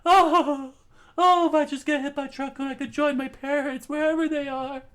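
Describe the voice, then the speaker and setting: A woman voicing an anxious, excitable teenage boy who rambles and stumbles over his words. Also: high-pitched, normal speed, lightly acted. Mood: earnest. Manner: rambling, excitable, breaks into laughs.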